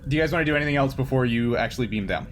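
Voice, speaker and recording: A man's voice: medium pitch, very fast. A man running a tabletop game as game master, narrating in a casual, improvised, conversational way. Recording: faint background noise.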